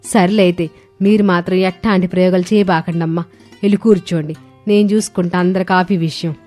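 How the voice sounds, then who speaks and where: A woman's voice: on the low side, fairly even intonation, a little fast, mellow, natural voice. A woman voicing a bossy, exasperated older mother-in-law in a cartoon family story, scolding and weary. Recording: music under the voice.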